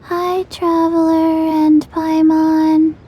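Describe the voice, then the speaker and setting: A woman voicing a small, sleepy undead girl in an anime game, with a soft childlike voice and a deadpan manner. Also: very high-pitched, a little hiss or hum, heavily acted. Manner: slow, deadpan.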